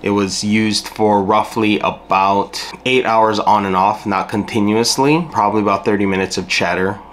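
A man's voice: lowish voice, steady tone, normal speed, a bit bright, a little hiss or hum. A middle-aged man giving a casual, plainspoken product review to camera, explaining things as he goes in a small room at home. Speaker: gear reviewer.

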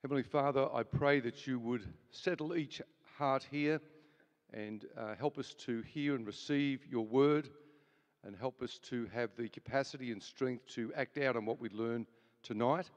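A man's voice: mid-range voice, unhurried, lo-fi sound. A man hosting a church event, welcoming the crowd and leading an opening prayer, earnest and full of small restarts. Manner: earnest, with frequent fillers and restarts. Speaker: event host. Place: large hall with live audience. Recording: reverberant large hall.

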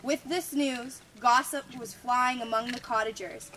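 A woman's voice: on the high side, fairly even intonation, unhurried, bright, forceful tone, faint music behind, rough recording. A young woman reading the part of a witness who found a drowned body, in a scripted ghost-story monologue read from a page, with stumbles over the words. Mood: earnest.

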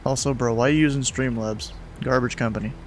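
A man's voice: medium pitch, fairly even intonation, fast talker, a little hiss or hum. A young man hosting a live stream from his home room, talking bluntly and casually with lots of slang. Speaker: streamer host.

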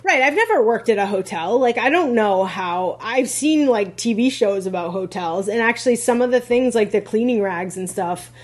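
Husky-voiced chatty woman podcaster. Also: on the high side, expressive, normal speed, few pauses. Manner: chatty, many "like" fillers, laughs often. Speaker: podcast co-host, rental host. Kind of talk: casual podcast chat.

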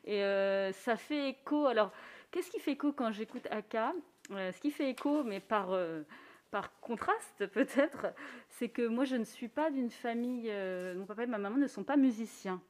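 A woman musician speaking in a thoughtful, earnest way during a radio conversation, sometimes laughing softly. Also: fairly high pitch, measured pace. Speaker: musician guest. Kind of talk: reflective conversation.

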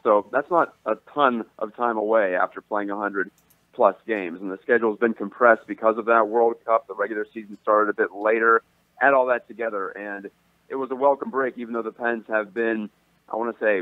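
Lower-voiced guy phoning in sports talk, tinny phone line, dry. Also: no room sound. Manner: steady, informative, detailed. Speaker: sports reporter, phone guest. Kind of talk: sports commentary over the phone.

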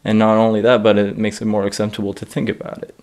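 Young man, from Iraq, comedian, interview, low voice, reflective, laughing asides. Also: monotone, fast, darkish tone. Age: young adult. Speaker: stand-up comedian. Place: studio against a plain grey backdrop.

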